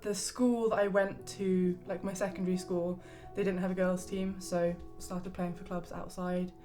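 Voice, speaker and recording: A woman's voice: on the low side, flat delivery, moderate pace, mellow, faint music behind. A woman in her twenties from Surrey, speaking in an earnest, reflective documentary interview. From Surrey, England. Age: 20s.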